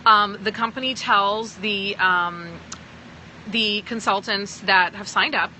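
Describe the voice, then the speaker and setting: A woman's voice: mid-range voice, fairly even intonation, slow, bright, forceful tone, slight background noise, poor audio. A woman from Pakistan who sounds American, talking to viewers on a phone livestream as a sales team leader, emphatic and often checking in with "okay?". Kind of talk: livestream talk answering viewers.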